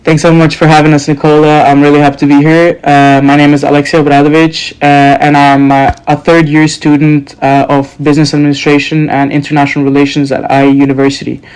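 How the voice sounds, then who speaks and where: Young male student guest, 20s, from Serbia and Norway, smooth, even-toned, measured. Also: on the high side, rough recording. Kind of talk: interview answers.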